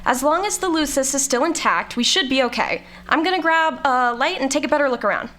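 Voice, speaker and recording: A woman playing a snarky, brisk scientist in an audio drama, with a dry, instructing manner. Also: sits high, animated, sharp tone. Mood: practical, brisk. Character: snarky, brisk female scientist exploring a planet.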